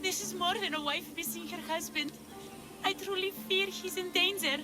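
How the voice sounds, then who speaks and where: A woman's voice: very high-pitched, steady tone, moderate pace, over music. A female actor playing an anxious but determined fisherman's wife in a video game cutscene, earnest and imploring. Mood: fearful, worried.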